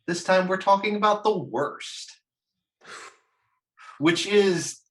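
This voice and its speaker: A man hosting a casual chat show, talking in a halting, joking way with the odd mild swear. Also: high-pitched, a bit bright. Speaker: host.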